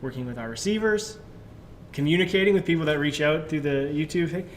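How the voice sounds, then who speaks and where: A man co-hosting a live question-and-answer chat, explaining things in a quick, chatty way. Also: on the high side, a little hiss or hum. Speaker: content strategist and co-host.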